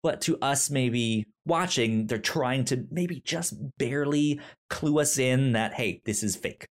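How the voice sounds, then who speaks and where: A man co-hosting a casual film discussion, thinking aloud haltingly and often repeating words as he works toward his point. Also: medium pitch. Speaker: podcast co-host.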